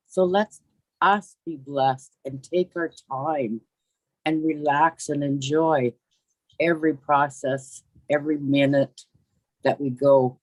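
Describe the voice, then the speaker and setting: A man's voice: a little high, dry recording, poor audio. A man giving an earnest, preachy testimonial on a video call, repeating his phrases. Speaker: webinar participant. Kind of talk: faith-filled testimonial.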